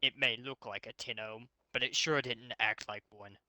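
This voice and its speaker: A man reading fanfiction aloud, voicing a calm, thoughtful alien military captain in a measured, commanding way. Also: medium pitch, no room sound, low-quality recording. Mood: calm, resolute.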